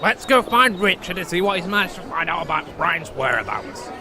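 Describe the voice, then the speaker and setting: A man's voice: high voice, big pitch swings, brisk, noisy background. A man playing a foul-mouthed, cocky, irritable gnome in a comic fantasy audio drama. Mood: exasperated. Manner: sweary, irritable, complaining.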